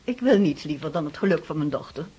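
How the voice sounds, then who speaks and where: An actress playing a dignified, cautious middle-aged countess and mother in a radio play, formal and measured. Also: sits low, expressive, warm tone, breathy edge, heavily acted. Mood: guarded. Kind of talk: radio drama acting.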